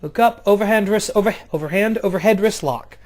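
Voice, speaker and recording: A man doing casual play-by-play of a video game wrestling match, jumping between loud outbursts and low, offhand, swearing asides. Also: fairly quick. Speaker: play-by-play commentator.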